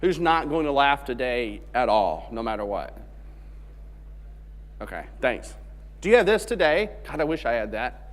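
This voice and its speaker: A man's voice: medium pitch, sing-song, moderate pace, long pauses. A man from Alabama giving a technical conference talk in a joking, conversational way. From Alabama, USA. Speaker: conference speaker. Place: large conference hall stage. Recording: long hall reverb on stage mic.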